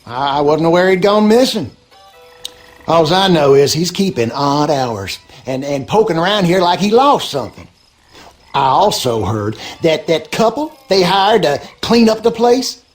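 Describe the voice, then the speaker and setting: An actor playing a gossipy, superstitious backwoods husband in a video game, with a rural Louisiana accent and folksy, colloquial talk. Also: male voice, on the high side, very animated, unhurried. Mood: wary, gossipy. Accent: rural Louisiana Southern American. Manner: folksy, drawling, colloquial storyteller. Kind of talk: animated game character dialogue.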